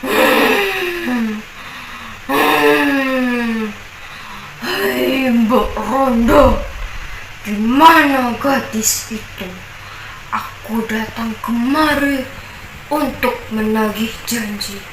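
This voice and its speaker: A woman voicing a huge, demanding male giant who speaks in slow, booming threats. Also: fairly bright tone, exaggerated voice. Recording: echoey room, distant mic, noisy.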